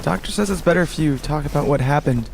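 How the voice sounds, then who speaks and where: A man's voice: on the high side, fast, creaky, a little hiss or hum. A man playing a tender, placating boyfriend in a radio drama, speaking intimately and coaxingly. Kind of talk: post-apocalyptic radio drama acting.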